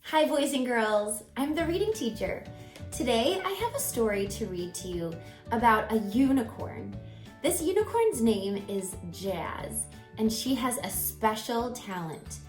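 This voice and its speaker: A woman reading a children's picture book aloud as a teacher, expressively, pausing to put questions to young listeners. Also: a little high, echoey room.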